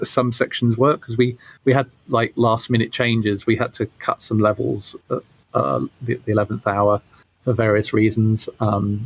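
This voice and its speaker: A man giving casual interview answers as a game developer, halting and often repeating his words. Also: on the low side, gravelly, rough recording.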